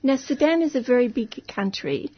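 Husky-voiced radio interviewer woman. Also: fairly high pitch, big pitch swings, normal speed, dry recording, muffled recording. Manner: brief, prompting questions. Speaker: radio presenter.

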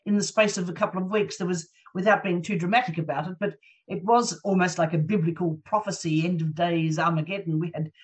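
A woman's voice: lowish voice, steady tone, brisk. A woman talking as a panel guest in a conversational storytelling way, emphatic and repeating herself. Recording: distant from the microphone.

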